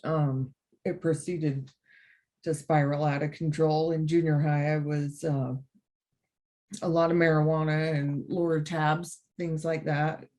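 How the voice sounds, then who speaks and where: A young woman from Utah, a guest on a podcast, recounts her past in an earnest, emotional way. She pauses often and fills the gaps with ums, and her voice sits a little low. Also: level tone, warm tone. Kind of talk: personal story.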